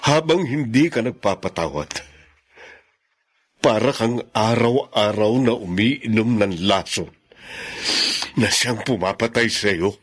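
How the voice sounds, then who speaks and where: An actor playing a weary, remorseful middle-aged father in a radio drama, speaking earnestly and in measured phrases with a husky voice. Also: lowish voice, lively intonation. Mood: weary, firm. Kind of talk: Filipino radio drama acting.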